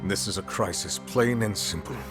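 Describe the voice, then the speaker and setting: An actor playing a solemn, formal envoy of a queen in a fantasy game, eloquent and measured. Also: fairly low pitch, lightly acted. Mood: grave, courtly. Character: solemn, courtly male envoy of a queen, steely beneath courtesy. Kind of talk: cinematic fantasy game acting. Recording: orchestral music behind.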